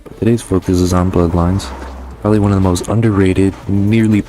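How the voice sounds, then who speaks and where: A man's voice: deep voice, steady tone, fairly quick, warm tone, soft background music. A man hosting a casual video game review, talking in a slangy, informal, conversational way. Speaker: host of a game review channel.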